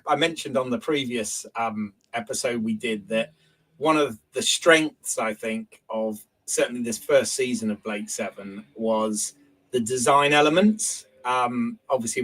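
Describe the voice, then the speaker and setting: A man, hoarse from a cold, chatting casually as a guest and hesitating often. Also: medium pitch, poor audio. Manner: relaxed, hesitant, many ums. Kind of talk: casual chat.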